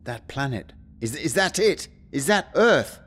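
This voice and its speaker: A man playing an excitable, wisecracking spaceship hero in a sci-fi audio drama. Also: a little high, a little slow, breathy edge, exaggerated voice. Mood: tense wonder. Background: music.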